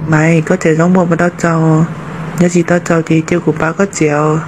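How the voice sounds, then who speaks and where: A man's voice: fairly high pitch, completely flat delivery, fairly quick, background noise, close to an everyday voice. A man voicing a plain-spoken adult man in an amateur cartoon, talking in a matter-of-fact way, recorded on a phone.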